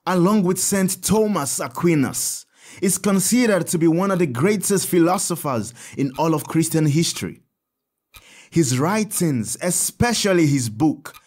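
An actor playing a kindly priest in an audio drama, telling saints' stories in a measured, expressive, instructive way. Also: male voice, fairly high pitch, exaggerated voice. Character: kindly priest, Father Tad, teaching children about saints.

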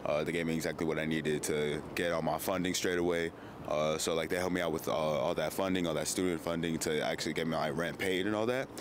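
A man's voice: extremely low pitch, steady tone, quick pace. An eighteen-year-old male film student answering casually and hesitantly, full of uh and like, into a handheld mic outdoors. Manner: hesitant, lots of uh and like. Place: outdoors on campus. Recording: outdoor recording with clear background noise.

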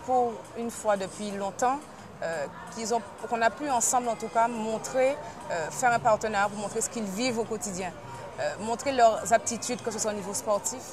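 A woman's voice: fairly high pitch, normal speed. A woman in her thirties gives an interview in a large exhibition hall. She speaks earnestly and hesitantly, with many fillers, into a lapel mic. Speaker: project manager, interviewee. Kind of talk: on-camera interview. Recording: noisy fair hall. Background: crowd noise of a trade fair.

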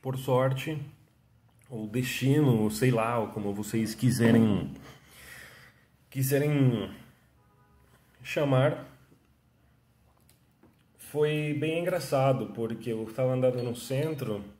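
Venezuelan man with a mellow, roomy voice. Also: mid-range voice, slow pace, long pauses. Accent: Venezuelan Spanish. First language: Spanish. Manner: chatty, restarts often, frequent tag questions. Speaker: freelance artist telling his story. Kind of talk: casual self-interview monologue. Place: small room at home, indoors. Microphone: phone camera at arm's length. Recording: echoey room.

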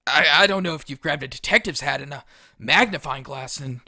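A man's voice: a little high, fairly quick, bright, forceful tone, no room sound, studio quality, full character voice. A man playing an awkward, crude teenage boy in an audio drama, rambling and hesitant. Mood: awkward, curious. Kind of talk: podcast audio drama acting.

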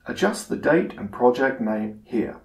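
A man's voice: mid-range voice, animated. Roomy-sounding male tutorial narrator. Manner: measured, instructional. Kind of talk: scripted narration, software tutorial.

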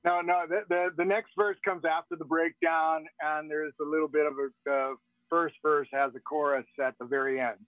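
A man's voice: on the high side, fairly even intonation, normal speed, a bit bright, no echo, highs cut off. An older man chatting casually as a songwriter at an online open mic, on a thin video call from inside a van. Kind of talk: casual chat. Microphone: video call device.

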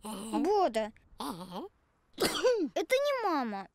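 A woman voicing a small, curious creature pupil in a children's cartoon, childlike and quick to correct. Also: high voice, huge pitch swings, slight background noise, exaggerated voice. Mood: picky.

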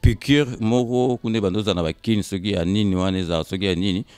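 A Congolese man in his fifties tells his story as an interview guest. His voice is on the low side and recorded dry, and he moves in halting, emphatic bursts, often repeating himself. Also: a little mellow, smooth, no echo. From Kinshasa, Congo. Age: 50s. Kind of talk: casual interview storytelling, switching between Lingala and French. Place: indoors on a sofa. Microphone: handheld microphone held by interviewer.

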